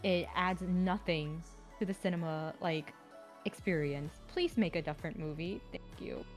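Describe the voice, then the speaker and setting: Lower-pitched woman in opinionated casual chat. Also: moderate pace, faint music behind. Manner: opinionated, filler-heavy with frequent "like". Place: indoor livestream with music behind.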